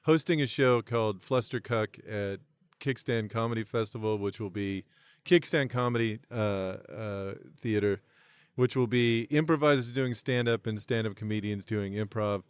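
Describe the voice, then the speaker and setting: A man's voice: lowish voice, moderate pace, clean tone, dry recording. A man who does comedy, co-hosting a podcast with wry, offhand asides.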